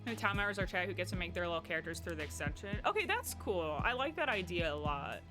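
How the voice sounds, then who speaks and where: A woman's voice: fairly low pitch, very animated, fast, music in the background. A young woman with a Midwestern accent talking casually to her stream chat in a rambling way, full of "like" and restarts. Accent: Midwestern American. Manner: chatty and rambling, heavy on "like" and restarts.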